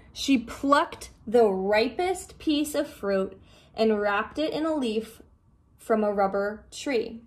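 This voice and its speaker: A woman with a fairly high voice reads a storybook aloud. She works as a storyteller, narrating the tale line by line.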